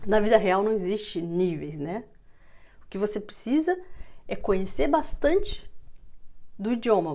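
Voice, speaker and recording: A woman's voice: medium pitch, darkish tone. A woman with a Brazilian Portuguese accent, speaking as a language teacher in an informal, explanatory talk, stressing key words.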